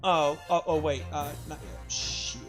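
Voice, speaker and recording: A man's voice: on the high side, fairly even intonation, a little slow, soft background music. A man hosting a video game playthrough with casual, chatty delivery. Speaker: host of game voice-over video. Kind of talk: casual gaming chat.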